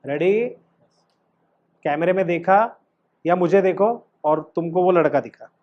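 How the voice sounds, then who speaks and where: A man's voice: on the high side, unhurried, highs cut off. A man teaching an acting class as coach, instructive and emphatic, often putting questions to his student.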